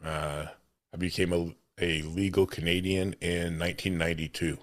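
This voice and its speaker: A man's voice: low voice, flat delivery, moderate pace, dry recording, clean studio sound. A man from Oregon hosting a casual interview in an easygoing way. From United States, Oregon. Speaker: podcast host.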